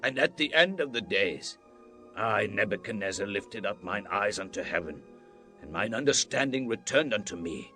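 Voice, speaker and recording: A grand, bright-voiced ancient king. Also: on the low side, animated, normal speed, faint music behind. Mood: reverent. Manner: grand, stately, declamatory. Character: proud, commanding ancient king of Babylon. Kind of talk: dramatized scripture reading, solemn.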